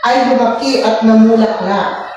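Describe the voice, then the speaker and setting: A woman, an elementary teacher reading a story aloud and questioning children in an expressive, prompting way. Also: on the high side, a little slow, muffled recording, faint music behind. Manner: expressive, didactic, prompting listeners. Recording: echoey room, distant mic, faint noise.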